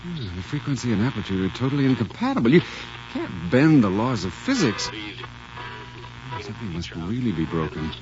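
An actor playing an obsessive, excitable radio tinkerer who mutters to himself and stammers when agitated, in a radio drama. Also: male voice, mid-range voice, brisk, music in the background, natural voice. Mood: muttering, puzzled. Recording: dull audio with faint hum.

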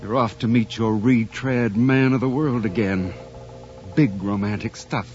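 An actor playing an obsessive, excitable radio tinkerer who mutters to himself and stammers when agitated, in a radio drama. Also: male voice, fairly low pitch, a little slow, close to an everyday voice. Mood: bitter, sarcastic. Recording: dull audio with faint hum.

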